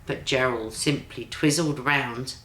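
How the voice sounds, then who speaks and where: A woman's voice: rumbling low, fairly even intonation, slow, fairly bright tone. An older woman reading a children's picture book expressively, like a grandmother, with exclamatory asides to a child. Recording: roomy echo, faint background noise.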